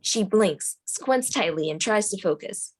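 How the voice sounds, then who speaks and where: A woman's voice: mid-range voice, animated, measured pace, husky. A woman reading screenplay stage directions as the narrator at a table read.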